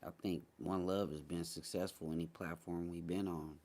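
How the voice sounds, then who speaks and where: Low-voiced American man, blunt and slangy. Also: fairly even intonation, normal speed, darkish tone. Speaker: host of a morning talk show. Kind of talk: casual livestream chat.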